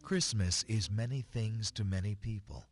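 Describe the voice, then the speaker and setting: A man presenting a radio drama with a deliberate, dramatic, scripted introduction. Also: lowish voice. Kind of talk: scripted old-time radio style introduction. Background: music.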